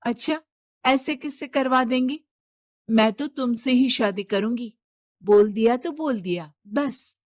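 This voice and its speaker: A woman's voice: on the high side, a little slow, no room sound, studio quality. A woman voicing a stubborn young rich man's daughter in a cartoon moral story, pleading and insistent.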